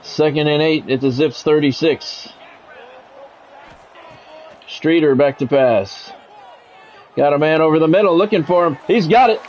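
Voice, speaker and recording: A middle-aged American man with a slightly high voice commentates on a football game in a practiced radio-announcer manner. He gives short, clipped calls and slips into casual chat with his viewers. Some noise and ambience sit behind his voice. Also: unhurried, long pauses, darkish tone. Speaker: livestream host and sports play-by-play announcer.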